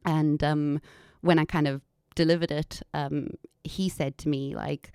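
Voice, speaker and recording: A woman's voice: low voice, fairly even intonation, unhurried, dark tone, smooth, dry recording, clean studio sound. A woman in her thirties with an anglicized South African accent, telling her story candidly as an interview guest. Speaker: podcast guest, environmental consultant and business owner. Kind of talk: conversational interview, personal story.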